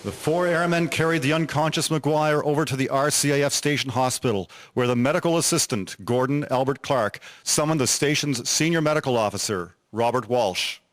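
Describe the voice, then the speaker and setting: A man's voice: medium pitch, a little fast, hardly any pauses, a bit bright, slight background noise. A man reading scripted historical narration in a formal documentary style.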